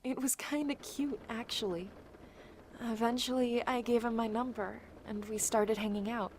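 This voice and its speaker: A woman's voice: on the high side, fairly even intonation, normal speed, darkish tone, slight background noise, close to an everyday voice. A woman playing a weary, touchy young survivor in a zombie audio drama. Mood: softening, reminiscent.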